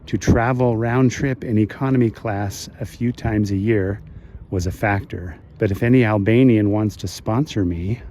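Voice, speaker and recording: Guy almost sixty from the us west coast, lowish voice, calm thoughtful voice-over, faint street noise behind. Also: normal speed. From west coast of the United States. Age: 50s. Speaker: travel vlogger. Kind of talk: scripted voice-over narration.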